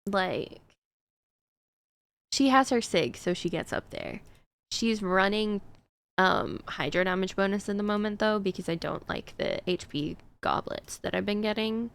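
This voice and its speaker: Slow, gravelly woman streamer. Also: medium pitch, lively intonation. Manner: chatty, rambling with frequent fillers. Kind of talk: casual chat while gaming.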